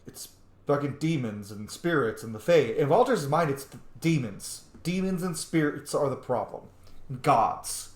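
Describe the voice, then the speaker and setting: A man's voice: fairly high pitch, animated, unhurried. Casual man thinking aloud in an echoey room. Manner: musing, informal, thinking aloud. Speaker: gaming host, roleplayer. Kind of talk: casual roleplay commentary over gameplay.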